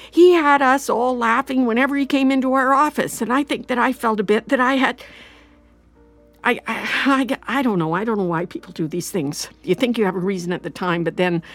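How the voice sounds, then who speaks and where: An actress playing an anxious, regretful middle-aged mother in an audio drama, halting and justifying herself as she confesses. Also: medium pitch, soft background music, close to an everyday voice. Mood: regretful, explaining. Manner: halting, self-justifying, repeats and trails off. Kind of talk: naturalistic audio drama acting.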